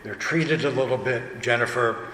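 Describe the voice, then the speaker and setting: Man, middle pitch, casual panel talk, halting and chatty, reverberant hall, faint room noise. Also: sharp tone. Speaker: director and actor speaking on a panel. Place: large convention panel room. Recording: echoey hall, distant, noisy room. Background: room ambience and noise.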